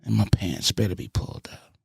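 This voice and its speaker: A man from the Bronx and Fayetteville, a radio host and producer, telling animated stories on a podcast and swearing freely. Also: fairly quick, no room sound, clean studio sound. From Bronx, New York and Fayetteville, North Carolina. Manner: animated storyteller with a lot of swearing. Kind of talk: casual podcast storytelling.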